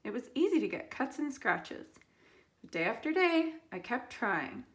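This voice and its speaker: A woman, a teacher, reading a children's picture book aloud with expressive, deliberate phrasing and dramatic pauses. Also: on the high side.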